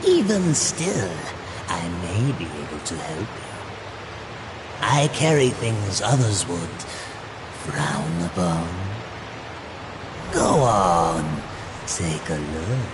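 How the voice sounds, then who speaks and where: Sly, ingratiating demon trader for a game; deep, swooping voice, ambient noise behind. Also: male voice, big pitch swings, heavily acted. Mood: sly, coaxing. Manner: oily, drawn-out, ingratiating. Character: sly male demon merchant, ingratiating and sinister. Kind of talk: video game cutscene acting.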